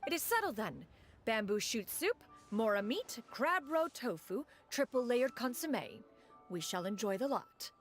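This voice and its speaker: A proud, refined video game immortal. She speaks in haughty, archaic, formal phrases with an animated rise and fall, over faint music. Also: fairly high pitch, a little slow. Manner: haughty, archaic, formal, calls herself "one". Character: proud, refined, ancient immortal woman adjusting to city life. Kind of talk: video game character dialogue.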